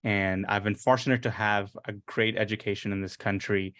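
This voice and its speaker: A young man from India introducing himself on a panel, earnest and steady, with frequent fillers. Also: lowish voice, normal speed, dry recording, studio quality. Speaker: panelist, business owner.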